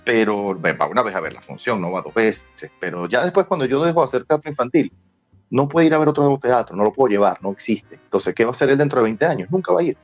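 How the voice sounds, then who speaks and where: Venezuelan guy explaining stuff in an interview, talks quick, dull lo-fi sound. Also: mid-range voice. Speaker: guest, screenwriter and former child actor. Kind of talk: conversational interview answers. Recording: dull lo-fi sound with music behind.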